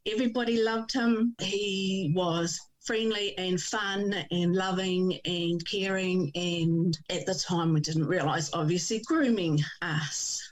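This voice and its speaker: A woman in her fifties with a New Zealand accent, telling her personal story as a podcast guest, speaking in a measured way with pauses and ums. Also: fairly low pitch, low-quality recording. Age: 50s. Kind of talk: personal testimony interview.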